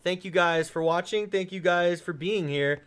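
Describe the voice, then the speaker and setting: A man with a somewhat high voice co-hosts a gaming video, loud and playful. He swears freely and jokes his way through casual banter. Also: moderate pace, clean tone. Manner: loud, joking, swears a lot, bursts into sing-song noises. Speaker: co-host of a gaming channel. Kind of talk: casual banter over gameplay.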